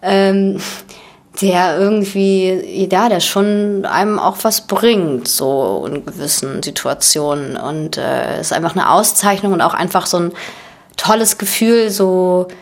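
A woman in her twenties from Germany talks in a relaxed, chatty way. Her voice sits a little low. She often restarts her sentences as she talks through an interview. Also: takes it slowly. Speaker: actress and guest. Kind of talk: casual chat.

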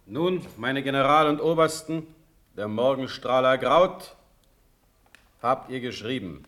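Slow, dignified fatherly ruler, played by a man. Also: mid-range voice, fairly bright tone, natural voice. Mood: stately, admonishing. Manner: measured, authoritative, paternal. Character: dignified fatherly ruler, an authoritative prince elector. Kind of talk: classic German radio play stage acting.

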